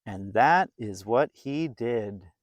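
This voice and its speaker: Slow, animated children's storyteller. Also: medium pitch, no room sound, highs cut off. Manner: animated, dramatic pauses, addressing the young listener. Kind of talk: expressive picture-book read-aloud for young children.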